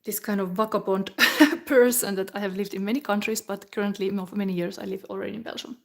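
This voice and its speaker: A middle-aged woman from Finland, giving a live tarot reading in a slow, chatty way that often drops into a whisper. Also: mid-range voice, steady tone. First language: Finnish. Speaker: tarot reader.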